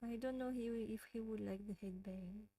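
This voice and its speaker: A woman's voice: medium pitch, normal speed, dark tone, dry recording, low-quality recording. A woman from France with a French accent, hosting a casual livestream and chatting with viewers, often giggling. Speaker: livestream host.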